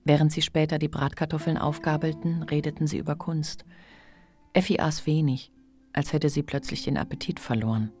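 Female narrator for audio drama: low register, literary prose reading over a musical bed. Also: steady tone, normal speed, over music. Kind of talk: scripted literary narration for a radio play.

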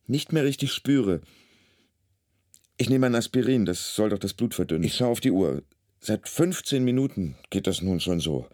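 An actor playing an anxious, brooding man in his fifties, halting and deadpan in a radio play monologue. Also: lowish voice, dry recording, clean studio sound. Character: anxious, ruminating 52-year-old male emergency patient, inner monologue. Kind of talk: German radio play acting.

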